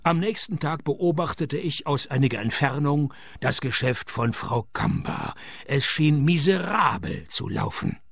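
Wry male private eye, fairly high gravelly voice, sardonic radio play delivery. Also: a little slow, faint music behind, heavily acted. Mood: dry narrating. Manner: deadpan, sardonic. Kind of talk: radio play dialogue and first-person narration.

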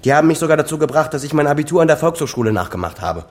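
A man's voice: on the high side, sing-song, rapid-fire, faint music behind. An actor playing a bitter, sarcastic middle-aged son who accuses his father in a naturalistic radio drama.

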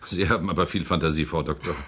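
A man's voice: deep voice, fairly bright tone, breathy edge. A man playing a suave, amused prosecutor and politician in a radio play, ironic and self-assured, laughing often. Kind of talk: German radio play acting.

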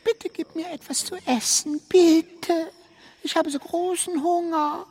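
High-voiced, pleading, hungry young girl. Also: fairly even intonation, slow, darkish tone, heavily acted. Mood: desperate pleading. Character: poor, hungry, desperate young girl begging. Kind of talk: radio drama acting.

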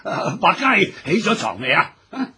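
A man's voice: sits high, wildly swooping pitch, unhurried, bright, forceful tone, slightly rough, heavily acted. An actor playing a fussy, impatient old father in a Cantonese radio drama, blustery and full of exclamations. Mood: impatient, bustling. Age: older.

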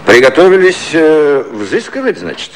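A man's voice: on the high side, very animated, moderate pace. An older man acting an aggrieved, blustering elderly British prime minister in a theatrical 1950s satirical radio play. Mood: uneasy. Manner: theatrical, grumbling, hesitating.

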